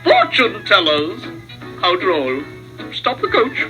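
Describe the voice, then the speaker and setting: A gloating, pompous royal villain from a cartoon storybook. The voice is very high and swoops theatrically with greed and self-importance, with music behind it. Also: male voice, huge pitch swings, slow, sharp tone, exaggerated voice, poor audio. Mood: haughty amusement.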